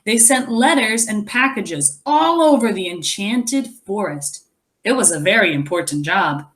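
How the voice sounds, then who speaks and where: A woman reading a picture book aloud as a teacher, expressively, pausing to explain and think aloud for young children. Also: mid-range voice, animated, a bit bright.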